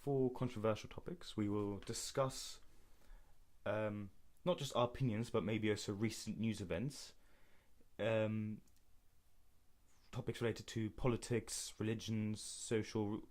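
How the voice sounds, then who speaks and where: A man's voice: lowish voice, steady tone, measured pace, a little mellow. A young man from Berlin co-hosting a casual podcast, chatting informally to listeners. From Berlin, Germany. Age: young adult. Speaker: podcast co-host.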